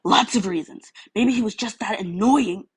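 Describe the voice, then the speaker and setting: Hot-headed, defiant teen boy for a storybook, husky and harsh female reader acting him. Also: medium pitch, moderate pace, no echo, voice pushed up. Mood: scornful. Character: surly, stubborn, hot-tempered teenage boy.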